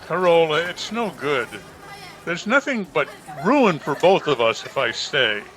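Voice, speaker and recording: A man playing a brooding, secretive murderer in old-time radio mystery style, grave, guarded and halting. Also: on the high side, very animated, fairly bright tone. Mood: anguished. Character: brooding, secretive male murderer torn by love. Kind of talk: 1940s radio mystery acting. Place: at home, online video-call performance. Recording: noisy home internet-call recording.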